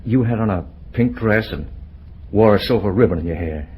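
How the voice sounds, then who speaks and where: A man playing a bashful, lovestruck young man in an old-time radio drama, with an earnest, halting delivery. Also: fairly low pitch, slightly rough, highs cut off. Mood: tender, yearning. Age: young adult. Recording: noisy vintage radio drama recording.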